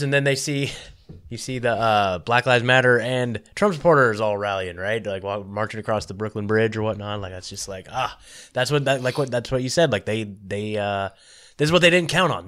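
Low-voiced man, casual but emphatic. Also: fairly bright tone. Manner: emphatic, repetitive. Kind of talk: casual impassioned chat.

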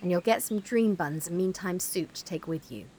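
An actress playing a practical, generous, dryly shrewd wartime countrywoman in a naturalistic audio drama, with a brisk no-nonsense manner. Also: fairly low pitch, darkish tone, slight background noise, lightly acted. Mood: kindly insistent.

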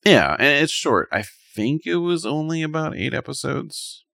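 A man's voice: on the high side, sing-song, normal speed, vocal fry, bright, forceful tone, no room sound, clean studio sound. A man co-hosting a podcast in casual chat, rambling a little and thinking aloud as he gives his opinions. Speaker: podcast co-host. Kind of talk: casual chat, reviewing anime.

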